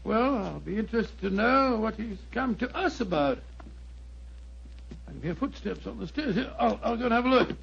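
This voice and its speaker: Genial British sidekick, high voice, stammering, repeats himself, dull 1940s radio mystery recording. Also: male voice, animated, moderate pace, gravelly, fairly bright tone, slight background noise, exaggerated voice. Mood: surprised.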